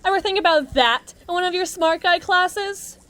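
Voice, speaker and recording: A woman's voice: extremely high pitch, moderate pace, pushed and bright. A woman playing a brash, mean henchwoman at an evil agency in an audio roleplay, with sarcastic, theatrical, slangy tough talk. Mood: sarcastic, jaded. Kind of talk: acted monologue roleplay addressed to listener.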